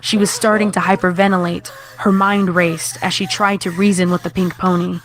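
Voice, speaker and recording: A woman's voice: lowish voice, flat delivery, normal speed. A woman reading scripted horror fiction as the narrator.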